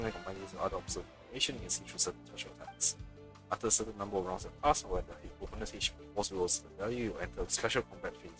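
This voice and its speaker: Guy streaming a game, lowish voice, casual sardonic commentary, music playing behind him. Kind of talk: casual let's play commentary, reading game text aloud.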